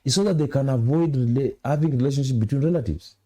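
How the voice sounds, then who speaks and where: A man's voice: mid-range voice, fast talker, mellow. A young Kenyan man with a Kenyan accent, a studio guest on a morning TV show, earnestly lecturing on relationships. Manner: earnest, lecturing, acts out little dialogues.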